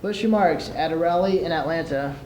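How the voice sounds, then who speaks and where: Teenage male, fairly high voice, scripted radio newscast delivery, echoey noisy room. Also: brisk, a little mellow. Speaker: student competitor playing radio newsreader. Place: large room at a school event.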